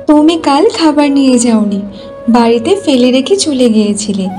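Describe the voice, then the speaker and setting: A woman playing a caring, self-sacrificing village wife in a cartoon folk tale, gentle and concerned. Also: high voice, animated, moderate pace, music in the background.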